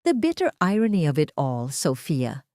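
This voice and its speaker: A woman narrator voicing a proud, composed female boss with cool, measured delivery in an audio story. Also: deep voice, wildly swooping pitch, dark tone, no echo, studio quality. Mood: cool, bitter. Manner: cool, measured, mixing Tagalog and English. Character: Shen Ning, proud composed wronged wife turned company boss.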